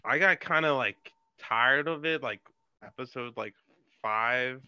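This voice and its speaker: A man joining a podcast as a guest, sharing frank opinions in a relaxed, casual chat. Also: mid-range voice, unhurried. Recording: dull lo-fi mic.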